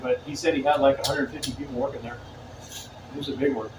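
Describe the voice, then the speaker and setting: A man's voice: mid-range voice, fairly even intonation, quick pace. A man in casual chat, telling stories in an easygoing, chatty way. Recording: roomy, distant and noisy.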